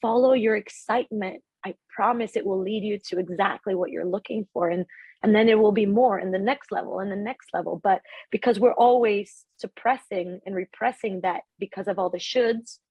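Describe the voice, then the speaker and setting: Casual reflective woman from ecuador, breathy voice, dry recording, lively spiritual chat. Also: mid-range voice, moderate pace, no room sound, lo-fi sound. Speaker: podcast guest. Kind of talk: casual conversation.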